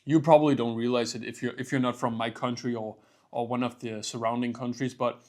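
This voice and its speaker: A young man with a Danish accent talks to the camera in a loose, rambling way. His pitch sits in a plain middle range. He swears casually and fills his sentences with "like" and "bro". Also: flat delivery, fairly quick, a little mellow. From Denmark. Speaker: vlogger. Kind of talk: casual vlog monologue.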